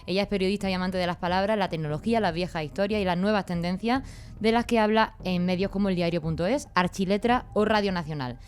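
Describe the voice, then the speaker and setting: A woman's voice: lowish voice, a little hiss or hum. A woman from reading a scripted introduction as a podcast host. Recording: music under the voice.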